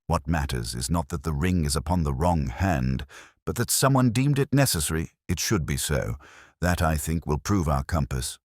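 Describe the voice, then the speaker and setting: A man reading the part of a gentleman detective from a Victorian audiobook tale. His voice is very deep and dry, with expressive rises and falls. He lectures with cool, formal precision. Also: normal speed, no room sound. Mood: probing, measured. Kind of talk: audiobook character dialogue.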